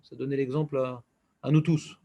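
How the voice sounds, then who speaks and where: A man's voice: mid-range voice, flat delivery, fairly quick, dark tone. A man teaching an informal religious class, bantering and joking with his students.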